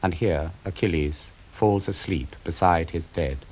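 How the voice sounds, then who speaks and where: A man reads an epic poem aloud. His voice sits low, and he takes each phrase slowly, with a measured, dramatic delivery. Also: a little mellow, slightly rough. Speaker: narrator. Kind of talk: scripted poetry reading.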